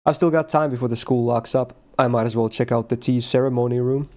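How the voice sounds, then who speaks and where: A man reading the lines of a quiet, withdrawn teenage schoolboy from a visual novel in a calm, matter-of-fact way. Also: medium pitch, fast talker, close to an everyday voice. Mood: thoughtful.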